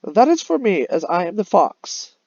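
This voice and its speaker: A man voicing a sly, flattering storybook fox with exaggerated, drawn-out sweet talk. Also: high voice, dry recording, highs cut off, pitched above the performer's own voice, exaggerated voice. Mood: greedy, self-assured.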